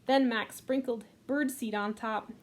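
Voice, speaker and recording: A woman librarian leading a children's storytime, reading aloud gently and unhurriedly with a few fillers. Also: on the high side, fairly even intonation, unhurried.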